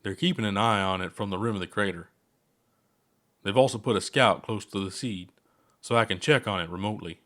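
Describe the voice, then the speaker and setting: A man voicing a calm elderly alien scientist in an audiobook, kindly and measured as they explain things. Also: on the low side, lightly acted. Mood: serious, explaining.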